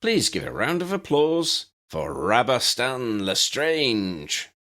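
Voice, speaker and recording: A man's voice: mid-range voice, sing-song, takes it slowly, fairly bright tone, voice pushed up. A theatrical master of ceremonies from a fantasy audiobook, acted by a male narrator. He addresses the meeting formally, his voice lifted and swinging up and down with showy emphasis. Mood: showy announcing.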